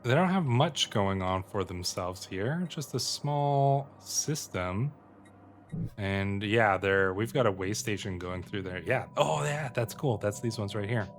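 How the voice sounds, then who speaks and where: A man's voice: fairly low pitch, lively intonation, a little slow. A man hosting a gaming video with casual, running commentary on his play. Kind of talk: casual gameplay commentary with read-aloud in-game text. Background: music.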